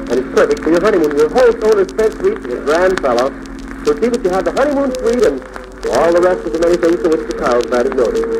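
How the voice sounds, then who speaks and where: A man's voice: on the high side, rough recording. A man hosting a 1940s radio show in the announcing style of the era, on an old recording.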